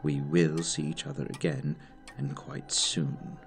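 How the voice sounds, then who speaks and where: Man acting a deep, gravelly, formal director. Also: flat delivery, faint music behind, exaggerated voice. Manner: formal, measured, theatrical. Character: courteous, grave male director of a secret agency. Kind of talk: audio drama acting.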